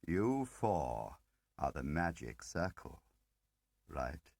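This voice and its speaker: A man reading the part of an ageing spymaster in an audiobook, deliberate and probing, pausing between phrases and pressing with questions. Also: very deep voice, sing-song, gravelly, darkish tone, no echo, studio quality, full character voice. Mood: measured, grave. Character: ageing male spymaster, measured, probing, quietly relentless. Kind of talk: dramatic audiobook character reading.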